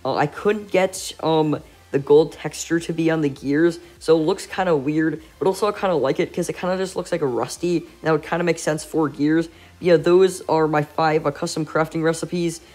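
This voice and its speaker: A young man records a relaxed gaming voice-over at home, his nose plainly blocked so every word comes out nasal. He rambles loosely, pausing on "uh" between thoughts, like a channel regular chatting to his viewers. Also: on the high side. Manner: rambling with frequent "uh" fillers. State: congested, stuffy nose. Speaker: gaming YouTuber. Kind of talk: casual gameplay voice-over.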